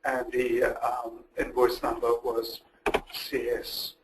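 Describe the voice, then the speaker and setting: Guy demoing software step by step, methodical, average pitch, bit of noise behind him. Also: monotone, slow pace. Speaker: software demonstrator. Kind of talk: walkthrough of a recorded software webinar. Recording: noticeable background noise.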